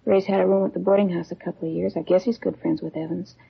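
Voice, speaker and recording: An actress playing a jaded, evasive addict of twenty-three in a radio drama, talking in clipped, offhand slang with a husky, gravelly voice. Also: female voice, on the low side, steady tone, quick pace, full character voice. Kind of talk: 1950s radio drama acting. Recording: muffled old radio broadcast recording.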